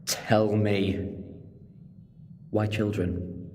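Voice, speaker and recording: An actor playing a clever, cheeky alien time-traveller hero in a sci-fi audio drama, with a wry, commanding and self-assured manner. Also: male voice, lowish voice, flat delivery, extremely slow, darkish tone. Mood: defiant, demanding. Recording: long cavernous hall reverb.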